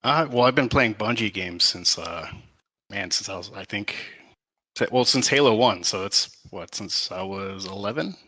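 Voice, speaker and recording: A man's voice: fairly low pitch, expressive, normal speed, bright, forceful tone, no room sound. A man of about thirty, a podcast guest, talking casually in a modest, hesitant way. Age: 30s. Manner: modest, hesitant, many restarts. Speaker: guest gamer. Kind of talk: casual chat.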